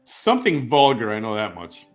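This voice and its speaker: A man in his fifties from Chicago hosts a casual live-stream talk show. His voice sits at an ordinary middle pitch. He rambles and repeats himself as he reads out viewer comments, mixing strong opinions with jokes, and a little music plays faintly behind him. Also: wildly swooping pitch, normal speed. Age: 50s.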